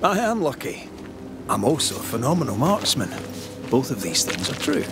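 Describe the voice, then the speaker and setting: An actor playing a confident monster hunter in a game cutscene, earnest and wry. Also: male voice, mid-range voice, huge pitch swings, moderate pace, over loud music. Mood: cocky. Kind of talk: dramatic game cutscene acting.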